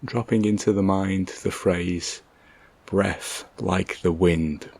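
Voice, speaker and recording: Very slow, low-voiced man guiding meditation. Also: creaky, breathy edge. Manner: gentle, calm, measured with long pauses. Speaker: meditation guide. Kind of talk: guided meditation, soft slow instruction.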